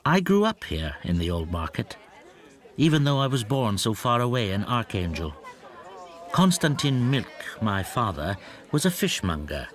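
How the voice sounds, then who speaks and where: A man's voice: fairly low pitch, sing-song, normal speed, some chatter behind. A male actor playing an anxious, timid shopkeeper in a radio drama, speaking in a hesitant, confiding and introspective way. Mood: reflective. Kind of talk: radio drama monologue and dialogue.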